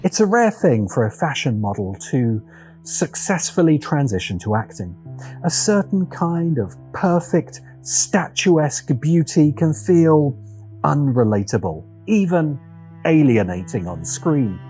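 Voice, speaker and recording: A man narrating a scripted video essay as host, in a deliberate, wry, opinionated manner with dramatic pauses and emphasis. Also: on the high side, sing-song, warm tone, faint music behind.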